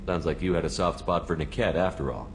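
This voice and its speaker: A man's voice: low voice, fairly quick. An actor voicing a hardened male starship commander who speaks firm and direct, in a game cutscene. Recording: game audio with faint ambient noise.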